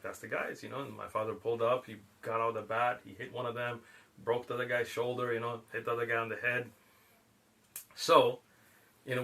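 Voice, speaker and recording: A man's voice: mid-range voice, pushed and bright. A middle-aged man, born in Pakistan, telling stories in a casual chat and laughing often. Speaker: guest. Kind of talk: casual storytelling.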